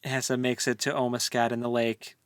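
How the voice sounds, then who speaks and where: A man's voice: medium pitch, level tone, fairly quick, clean tone, no echo, studio quality. A man co-hosting a podcast, talking casually and informally about a book with opinionated commentary. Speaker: podcast co-host. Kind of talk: casual book discussion chat.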